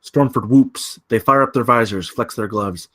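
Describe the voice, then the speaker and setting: A man's voice: mid-range voice, moderate pace. A man reading scene directions as the narrator of a live screenplay table read.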